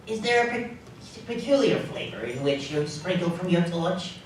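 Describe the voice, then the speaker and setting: An actor playing a humbled, timid old miser in a live radio drama, speaking deferentially and haltingly. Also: male voice, high voice, animated. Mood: curious. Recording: echoey hall, distant mic, background noise.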